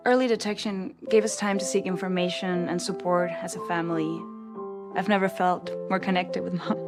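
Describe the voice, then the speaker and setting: Woman, lowish voice, scripted testimonial ad, piano music behind. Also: steady tone, brisk. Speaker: daughter in a public service ad.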